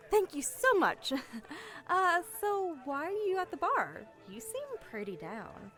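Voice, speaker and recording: A woman's voice: very high voice, very animated, slow pace, a bit bright, faint music behind, exaggerated voice. A woman acting a young elf assassin in a fantasy audio drama, charming and sweetly feigning innocence. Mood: bubbly, polite. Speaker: voice actor in an audio roleplay.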